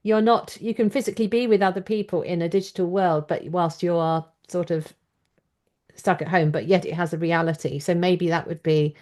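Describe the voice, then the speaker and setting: A woman's voice: fairly low pitch, fairly even intonation, moderate pace, a little mellow. A woman hosting a conversational interview in a chatty, informal way. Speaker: podcast host and interviewer.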